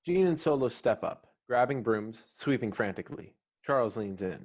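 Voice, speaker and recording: A man's voice: mid-range voice, lively intonation, moderate pace, darkish tone. A man reading screenplay stage directions as the narrator of a scripted table read. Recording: slightly dull online call audio.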